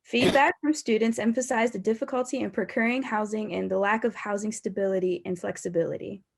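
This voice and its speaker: A woman's voice: mid-range voice, fairly even intonation, a little fast, vocal fry. A woman in her twenties with an American accent, a university student reading a scripted presentation. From Baltimore, United States. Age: 20s.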